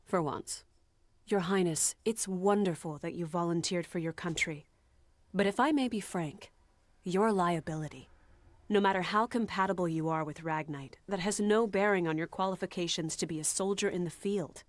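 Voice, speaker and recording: A woman playing a blunt, cool soldier in a video game, speaking frankly and critically in measured, formal words. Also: on the low side, no room sound, natural voice. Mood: stern, critical.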